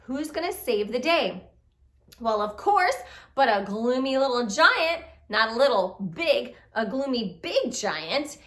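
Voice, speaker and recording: A woman telling a children's puppet story in an expressive way, speaking directly to young listeners with questions and exclamations. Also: a little high, a little slow. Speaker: storyteller, puppet show reader.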